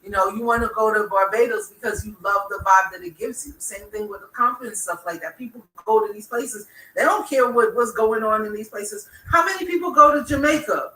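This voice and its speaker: A woman's voice: on the high side, a little fast, hardly any pauses. A woman with a Bahamian accent, co-hosting a casual podcast chat and making her points emphatically. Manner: emphatic, opinionated, building to excited peaks. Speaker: podcast co-host, DJ. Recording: roomy echo, mic at a distance.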